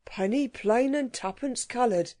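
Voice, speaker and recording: A woman voicing a rough working-class male burglar, talking in slangy, grumbling dialect. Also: a little high, a little slow, mellow, no room sound, lightly acted. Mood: wary.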